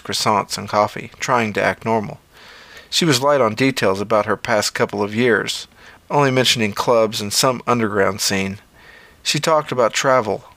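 A man's voice: lowish voice, vocal fry, a bit bright, dry recording. A man narrating a scripted first-person story as an audio fiction reader.

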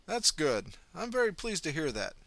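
A man's voice: fairly high pitch, big pitch swings, normal speed, vocal fry, sharp tone, dry recording, pitched above the performer's own voice, heavily acted. A man voicing a stern, muscular doctor who speaks with polished, reassuring politeness. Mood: courteous.

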